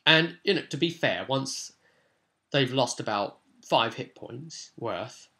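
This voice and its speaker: Guy game master chatting through his tabletop session, rambly and casual, medium pitch, punches certain words. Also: lively intonation, slow, pushed and bright. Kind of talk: informal vlog recap and advice on a tabletop role-playing session.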